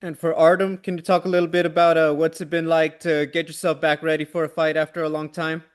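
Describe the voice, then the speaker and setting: Male reporter on a press call, slightly high voice, posing questions. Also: level tone, fast talker, clean tone. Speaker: journalist.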